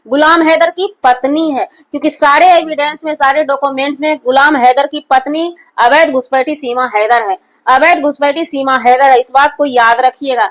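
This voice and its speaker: High-pitched woman giving emphatic commentary. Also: a bit bright. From India. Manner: emphatic, repetitive, accusatory. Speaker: online commentary host. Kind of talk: opinionated viral-news commentary.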